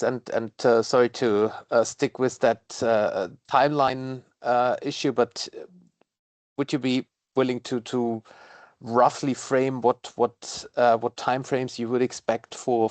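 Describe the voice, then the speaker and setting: Gravelly man asking polite questions. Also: medium pitch, a little mellow, no room sound. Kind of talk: earnings call questions.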